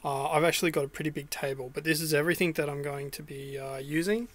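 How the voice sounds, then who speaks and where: A man's voice: fairly high pitch, fairly even intonation, fast. Chatty Australian man in a van. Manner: chatty, many ums and restarts. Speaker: vlogger. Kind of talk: casual cooking walkthrough. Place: inside a small van kitchen.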